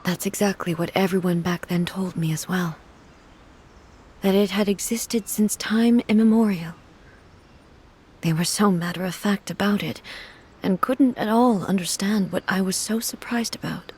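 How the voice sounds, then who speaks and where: An actress playing a solemn, regal goddess mourning her sister in a video game, speaking in a soft, hushed, measured way. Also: mid-range voice, breathy edge.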